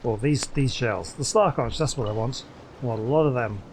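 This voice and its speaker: A man's voice: mid-range voice, normal speed, noisy background. A man giving casual running commentary on a game, half talking to himself as he plays. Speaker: gaming YouTuber. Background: game music.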